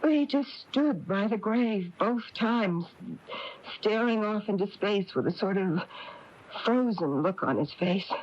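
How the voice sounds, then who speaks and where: An actress playing an anxious, fretful small-town married woman in a 1980s radio drama, with halting, flustered delivery. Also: medium pitch, lively intonation. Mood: flustered, confiding. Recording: dull lo-fi AM radio tape.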